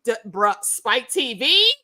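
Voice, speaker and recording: High-voiced woman in her forties, chatting casually. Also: slow. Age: early 40s. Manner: animated, slangy, profane, digressive. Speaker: livestream show host. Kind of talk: casual, unscripted fan discussion chat.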